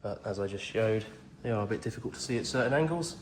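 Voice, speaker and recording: A man's voice: lowish voice, lively intonation, fast. A man who has a cold, walking round a pick-up and describing its condition in a plain, methodical, reassuring way. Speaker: car salesman. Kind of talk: walk-around vehicle video. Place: outdoors around a vehicle. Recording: echoey, with faint noise.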